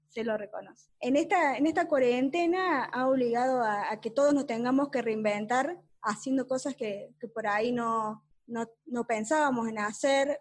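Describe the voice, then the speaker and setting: A woman, asking polite, formal interview questions with a few repeated words. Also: a little high.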